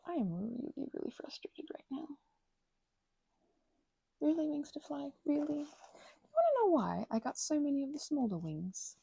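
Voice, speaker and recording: Chatty, gravelly woman rambling while crafting. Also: high-pitched, big pitch swings, measured pace, long pauses, mellow, no echo. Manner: chatty, self-deprecating, drifting between whispers and bursts of talk. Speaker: crafting ASMR creator. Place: home craft table.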